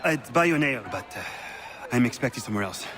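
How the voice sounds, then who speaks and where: An actor playing a feisty, swaggering colonist in a game. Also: male voice, mid-range voice, expressive, fast talker, close to an everyday voice. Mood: wry, swaggering. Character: feisty, swaggering French colonist and tavern chef. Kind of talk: dramatic game cutscene acting.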